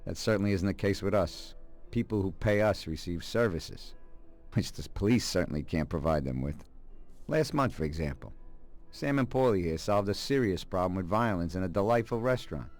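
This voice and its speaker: A refined mafia consigliere in a crime game. His low voice is measured and formal, cautious in its phrasing, with faint music underneath. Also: lively intonation, a little mellow. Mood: smooth, explanatory. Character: cautious, refined, articulate mob advisor. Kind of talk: dramatic video game cutscene acting.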